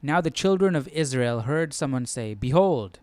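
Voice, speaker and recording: A man hosting a Bible study, reading the passage aloud and thinking out loud with casual, hesitant asides. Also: mid-range voice, animated, normal speed, no room sound.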